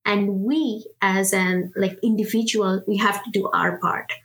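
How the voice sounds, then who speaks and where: A woman's voice: mid-range voice, fairly even intonation, unhurried, a bit bright, poor audio. Indian woman, 30s, product manager giving encouraging advice, conversational, lots of restarts. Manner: earnest, encouraging, many fillers and restarts. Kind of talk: casual interview talk.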